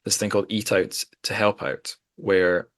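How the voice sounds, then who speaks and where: Guy w a really low voice, podcast host, wry n rambly book chat. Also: little pitch movement, normal speed, no echo. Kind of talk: casual literary podcast discussion.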